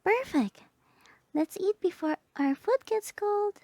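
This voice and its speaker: A woman voicing a sweet, excited young woman on a date, girlish, gushing and soft, in an ASMR roleplay. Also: very high-pitched, takes it slowly, dry recording, clean studio sound, voice pushed up, full character voice.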